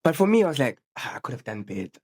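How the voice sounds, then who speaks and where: A young man from South Africa with a South African accent, co-hosting a casual podcast chat, halting with many restarts and slang. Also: mid-range voice, very animated, darkish tone, breathy edge. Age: young adult. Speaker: podcast co-host.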